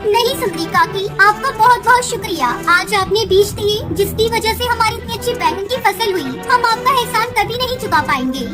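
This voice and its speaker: A woman's voice: very high-pitched, moderate pace, hardly any pauses, music in the background. A woman voicing an earnest, polite young female bird in a children's cartoon story. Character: young female bird, dutiful, sweet and tearful. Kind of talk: Hindi children's moral-story cartoon dialogue.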